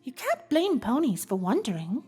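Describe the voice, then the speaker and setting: Posh-mannered cartoon unicorn gal, high voice, super swoopy and dramatic, teasing gossip. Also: female voice, huge pitch swings, mellow, husky, soft background music, exaggerated voice. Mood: conspiratorial teasing. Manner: affected, genteel, theatrical delivery with drawn-out words. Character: elegant, teasing female unicorn socialite, theatrical and gossipy. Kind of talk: fan fiction audio drama acting.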